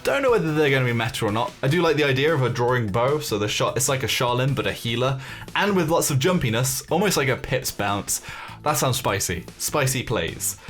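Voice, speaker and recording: A man's voice: mid-range voice, big pitch swings, a little fast, a bit bright. A man hosting a gaming video, giving casual, speculative news commentary in an informal, tentative way, as if thinking aloud. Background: music behind the voice.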